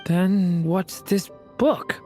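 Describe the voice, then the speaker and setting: A woman voicing an earnest, gentle young boy in a video game, sincere and a little hesitant. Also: on the low side, extremely slow, soft background music. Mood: curious, pensive. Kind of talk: video game character acting.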